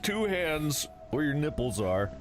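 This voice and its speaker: A man's voice: a little high, big pitch swings, unhurried. A man in short novelty voice clips with an exaggerated, exclamatory delivery. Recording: loud music behind the voice. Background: loud music.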